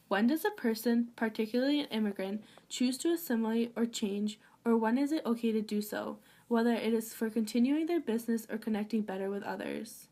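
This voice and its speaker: Woman, scripted narration, read aloud, formal, slightly high voice. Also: darkish tone.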